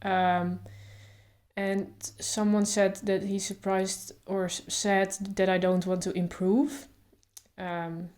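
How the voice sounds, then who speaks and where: A woman speaks calmly and thoughtfully, stuttering openly with repeated sounds and blocks. Her pitch stays flat and steady, with little rise or fall. She thinks aloud in a casual way. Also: slow pace. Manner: calm, reflective, thinks aloud with fillers. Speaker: vlogger. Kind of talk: casual talk to camera.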